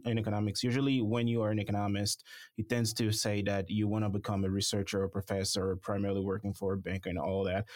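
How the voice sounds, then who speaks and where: A man from Haiti, a startup founder, answering interview questions in a measured way with many fillers. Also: fairly low pitch, no echo, studio quality. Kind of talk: conversational interview.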